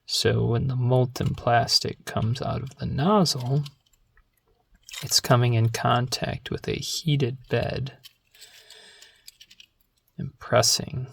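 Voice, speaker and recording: A soft-spoken ASMR host talking gently and without hurry, drifting into a whisper, close to the microphone. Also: mid-range voice, slow pace, gravelly, pauses often, slightly rough. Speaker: ASMR video creator and host.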